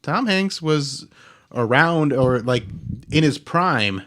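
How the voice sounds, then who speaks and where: A man hosting a podcast in casual, rambling conversation, telling things in a halting, informal way. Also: fairly high pitch, expressive, a bit bright. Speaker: podcast host. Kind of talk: casual chat, rambling storytelling.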